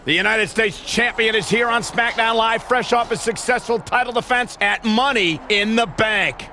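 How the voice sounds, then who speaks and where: An actor playing an excitable wrestling commentator, calling events in TV sports style for a video game. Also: male voice, high-pitched, moderate pace, slight background noise. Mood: dramatic, announcing.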